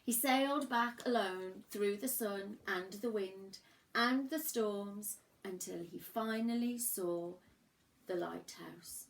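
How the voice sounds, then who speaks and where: A woman reading a children's storybook aloud expressively, with dramatic emphasis and pauses for young listeners. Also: mid-range voice, gravelly, low-quality recording. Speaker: primary school teacher reading a picture book aloud.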